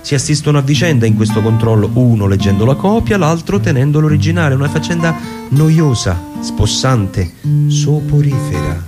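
A man narrating a literary story for radio in a deliberate, theatrical way, with short measured phrases. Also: medium pitch, lively intonation. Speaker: narrator and performer. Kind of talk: dramatic literary reading for radio with music. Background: music with plucked guitar.

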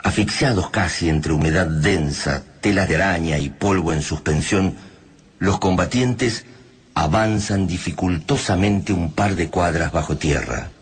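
A man with a Rioplatense accent narrating a radio drama in a grave, ominous, deliberate manner. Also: low-pitched, breathy edge. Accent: Rioplatense Spanish. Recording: narration over loud music.

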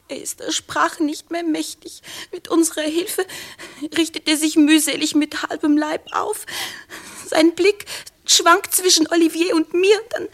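An actress in a 1950s German radio play as a distraught, devoted young goldsmith's daughter, speaking with theatrical fervour. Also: female voice, high-pitched. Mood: hushed, horrified. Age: young adult. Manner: fervent, theatrical, breathless dramatic delivery with hushed passages. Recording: 1950s radio drama studio recording.

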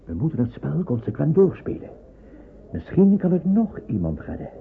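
Resolute wartime doctor, tinny recording. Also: male voice, fairly high pitch, big pitch swings, moderate pace, gravelly. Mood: urgent, conspiratorial. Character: calm, resolute doctor secretly rescuing deportees in wartime. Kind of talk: Dutch radio drama acting. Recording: tinny, band-limited old radio recording, faint noise.

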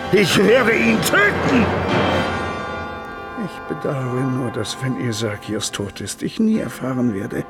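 A menacing Byzantine emperor in a radio play. He commands and threatens in a creaky, gravelly voice that swings dramatically in pitch, suspicious of everyone around him. Music plays clearly behind him. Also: full character voice. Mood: vengeful. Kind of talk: German radio play acting.

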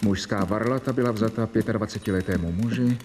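A man playing a doctor who reads out a formal medical record in flat dictation in a radio play. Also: lowish voice, slight background noise, lightly acted. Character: male doctor reading a dry medical record.

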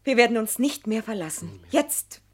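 A woman's voice: a little high, expressive, moderate pace, breathy edge. An actress playing an impulsive, adoring young woman of the stage in a radio play, exuberant and carefree. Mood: elated, tender. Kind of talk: German radio play acting.

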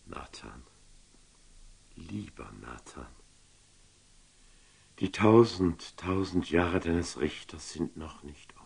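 Grave sultan in a radio drama, really deep n gravelly, husky breathy, terse. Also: male voice, expressive, slow pace, pauses often, darkish tone, heavily acted. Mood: awed, humbled. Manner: terse, solemn, often dropping to a murmur. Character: powerful sultan, grave, humbled and deeply moved. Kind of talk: German classical radio drama acting.

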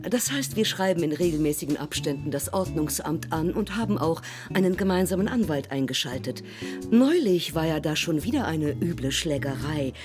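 A sociable, well-off hostess in a naturalistic radio drama. She sounds polite and self-assured, with a slightly low voice, and music is clearly heard behind her. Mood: businesslike. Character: sociable, well-off neighbourhood hostess organising against a local shop.

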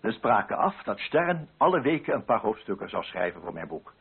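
An actor playing a pompous, prudish middle-aged coffee broker with a Netherlands Dutch accent in a radio play monologue. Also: male voice, fairly low pitch, sing-song, brisk, heavily acted. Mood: scheming, smug. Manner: pompous, fussy, self-righteous. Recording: husky, narrow-band old radio recording.

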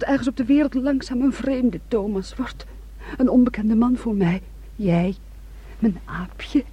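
An actress in a radio play playing a desperate, possessive young woman who speaks in an intense, halting, intimate way. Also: on the high side, warm tone, slightly rough, highs cut off.

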